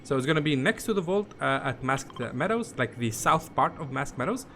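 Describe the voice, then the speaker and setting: Lively higher-pitched man guiding a game walkthrough. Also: a little fast, a little hiss or hum. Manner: rambling, repetitive, conversational. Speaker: gaming video host. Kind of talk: casual game walkthrough commentary.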